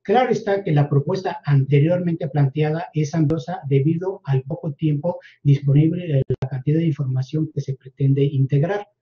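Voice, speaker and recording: A man giving a formal academic presentation in wordy sentences, halting in places, over an online call. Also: a little high. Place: virtual conference video call. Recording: dull, band-limited online call audio.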